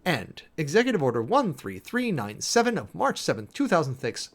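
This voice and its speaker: Guy reading official stuff out loud super dramatically, kinda high voice, really animated pitch. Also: moderate pace. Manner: theatrical, emphatic, spells out punctuation and section headings. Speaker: streamer and host reading a document aloud. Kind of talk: dramatic reading of a legal document.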